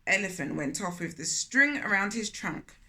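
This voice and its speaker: A woman's voice: mid-range voice, lively intonation, normal speed, bright, forceful tone. A woman hosting a children's read-aloud, telling the story expressively with chatty asides and questions to listeners.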